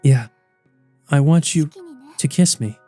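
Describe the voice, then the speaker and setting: A man voicing a dying, tender and weary ancient vampire woman from a visual novel, speaking faintly and haltingly. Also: fairly high pitch, warm tone, soft background music. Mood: feeble, wistful. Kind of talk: visual novel character reading.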